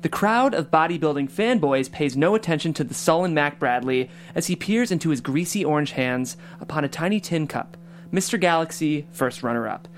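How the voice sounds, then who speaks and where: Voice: medium pitch, lively intonation, brisk. A podcast host welcoming listeners and reading scene directions in a chatty, upbeat way. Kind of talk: hosting a table read and reading stage directions.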